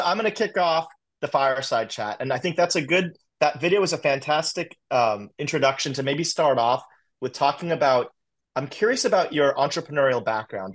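A man moderating a fireside chat, working his way haltingly through long, wordy questions. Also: mid-range voice. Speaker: interview host, moderator.

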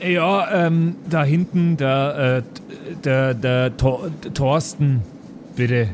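A man's voice: medium pitch, steady tone, drawn-out words, a little mellow, slight background noise. A man improvising as a tabletop role-playing player, joking along with hesitant phrasing. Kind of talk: improvised in-character banter.

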